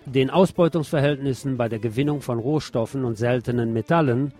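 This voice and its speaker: A man's voice: mid-range voice, brisk, darkish tone. A man reads scripted radio commentary as a host, with an emphatic, indignant delivery. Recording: music bed under the voice. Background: music.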